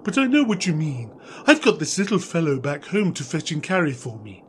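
A man's voice: fairly high pitch, big pitch swings, brisk. Guy doing an airy coy posh-mannered snob, very swingy intonation, some background noise. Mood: airy, sympathetic. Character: genteel, flattered, airily snobbish character called Tony. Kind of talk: comedy audio drama. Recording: background ambience, noisy.

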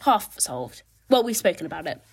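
A woman's voice: lowish voice, sing-song, normal speed, slight background noise. A young woman co-hosting a podcast, chatting and encouraging her friend. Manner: warm, encouraging listener, chatty. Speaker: podcast co-host. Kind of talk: casual chat.

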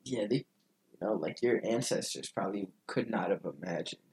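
A man's voice: lowish voice, brisk, a little mellow, poor audio. A man chatting casually as a podcast guest, talking in an informal, hesitant, loosely worded way. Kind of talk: casual chat.

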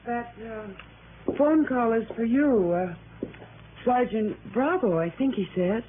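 High-voiced concerned American woman, slow delivery. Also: expressive. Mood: tentative. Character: concerned woman, close companion of the detective. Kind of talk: 1960s radio drama acting. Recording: noisy narrowband old radio broadcast.

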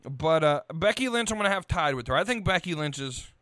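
A male podcast host with a fairly high, smooth and clear voice. He talks casually and opinionatedly, blunt and quick to swear. The sound is dry and close. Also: expressive, a bit bright, no echo. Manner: blunt, profane.

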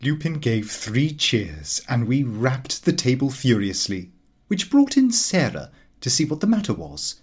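A man reading a comic diary aloud as expressive audiobook narration. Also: mid-range voice, a little slow, husky. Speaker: audiobook reader.

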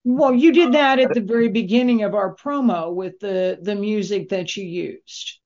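A woman hosting a conversational interview as the interviewer, speaking in a measured way. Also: medium pitch, a little mellow. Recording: dull lo-fi audio.

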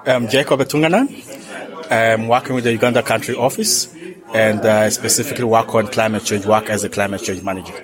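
A man's voice: fairly low pitch, brisk, a crowd in the background. A man introducing himself as a workshop participant, formal and earnest.

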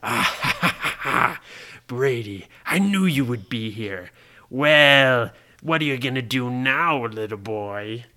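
A man voicing a villainous pirate captain in a bedtime-style story, with a slow, taunting drawl and sinister chuckles. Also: mid-range voice, lively intonation, sharp tone, voice pushed down. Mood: taunting menace. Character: villainous male pirate captain, gloating and menacing.

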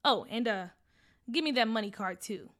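A stern, protective mother lays down the rules with firm, scolding words, as a woman reads her part. Her voice is slightly high and pointed, sounding both caring and strict as she delivers her lines. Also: animated, moderate pace.

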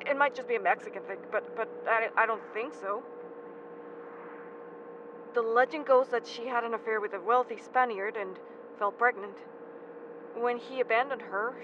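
A woman's voice: a little high, close to an everyday voice. A woman acting a nervous, earnest young man who speaks hesitantly in an audio drama. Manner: stammering, hesitant. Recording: muffled, vehicle noise behind.

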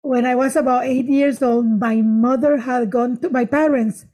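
A middle-aged woman, a comedian guest telling stories in animated, restarting bursts. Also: high voice, moderate pace. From Nicaragua. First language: Spanish. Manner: animated storytelling, frequent restarts and laughs. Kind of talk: casual interview chat.

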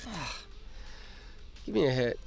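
A cocky male hacker with a gravelly, fry-laden voice. He is irritable and obsessive, an audio drama character with faint music behind him. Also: medium pitch, lively intonation, slow. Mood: frustrated. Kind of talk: naturalistic audio drama acting.

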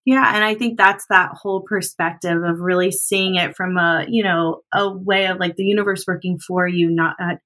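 A woman's voice: fairly low pitch, steady tone, normal speed, hardly any pauses, fairly bright tone. A woman in her thirties hosting a casual chat, talking loosely with plenty of filler words. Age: 30s. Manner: chatty, lots of "like" and "you know".

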